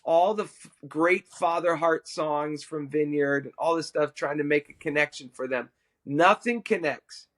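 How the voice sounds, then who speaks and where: A middle-aged man, a worship musician and podcast guest, telling a personal story in an animated, self-amused way. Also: on the high side, unhurried.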